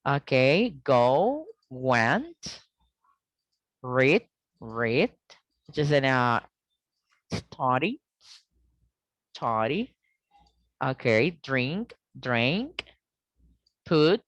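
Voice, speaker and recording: A woman teaching an English grammar lesson over a video call, explaining slowly and checking in with "okay?" often. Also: low-pitched, no echo. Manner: slow, deliberate explaining with frequent "okay?" checks.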